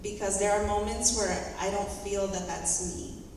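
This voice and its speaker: A woman giving a heartfelt personal testimony in a church, speaking in short phrases and pausing after each one for an interpreter. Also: medium pitch, fairly even intonation, background noise. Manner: earnest, heartfelt. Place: large church sanctuary. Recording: echoey hall from far off.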